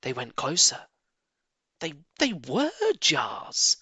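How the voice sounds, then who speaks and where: A man reading a children's story aloud with expressive narration. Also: medium pitch, takes it slowly, husky, no echo. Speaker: audiobook reader.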